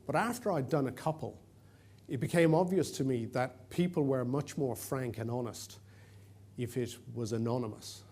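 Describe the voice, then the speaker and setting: A middle-aged Irish man speaks to a conference audience in a warm, mellow voice at an ordinary pitch. He rambles a little, joking about himself, with plenty of fillers and restarts. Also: slight background noise. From Ireland. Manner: chatty and self-deprecating, with frequent fillers and restarts. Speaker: conference presenter. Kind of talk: conference talk.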